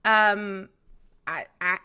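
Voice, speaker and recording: A young woman co-hosts a casual podcast with a bright, forward voice. She is opinionated and chatty, often circling back to restart a thought. Her pitch sits in a middle range for a woman. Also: fairly even intonation, extremely slow, clean tone. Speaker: podcast co-host. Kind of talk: casual reality TV gossip chat.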